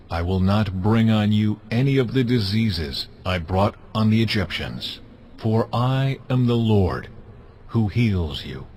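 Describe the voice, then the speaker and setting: An actor playing God as a solemn, authoritative deity, speaking deliberately in a dramatized scripture. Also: male voice, fairly low pitch, darkish tone, slight background noise.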